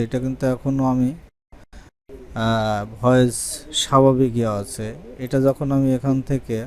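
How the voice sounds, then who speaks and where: Male tutorial presenter, medium-pitched voice, casual step-by-step screen narration. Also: darkish tone, a little hiss or hum.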